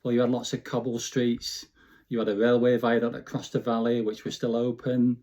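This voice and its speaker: A man's voice: medium pitch, completely flat delivery, normal speed, warm tone. A man in his fifties with a Bolton, Lancashire accent, reminiscing casually and informally in an interview, often adding "you know". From Bolton, Lancashire, England. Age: 50s. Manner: chatty, informal, frequent "you know" tags. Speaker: interview guest.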